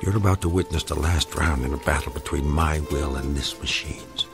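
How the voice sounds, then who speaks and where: An actor playing an obsessed, irascible older husband in a radio drama, speaking in a pompous, ranting, formal way. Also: male voice, very deep voice, moderate pace, gravelly. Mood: grimly determined. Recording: radio drama with music underneath.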